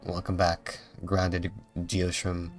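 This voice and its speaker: A man's voice: low-pitched, monotone, takes it slowly. A man giving casual live commentary as he plays a game, thinking aloud as he streams. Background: faint game music.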